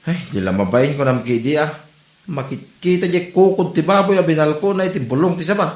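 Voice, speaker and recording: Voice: mid-range voice, lively intonation, fairly quick, darkish tone, lightly acted. Echoey actor playing a gossiping neighbour. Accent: Ilocano. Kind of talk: Ilocano comedy radio drama acting. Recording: echoey room.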